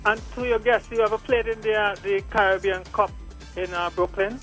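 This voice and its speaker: A man's voice: high voice, fairly even intonation, brisk, fairly bright tone, faint music behind. A man calling into a radio show, rambling and hesitant. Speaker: caller. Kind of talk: call-in radio chat.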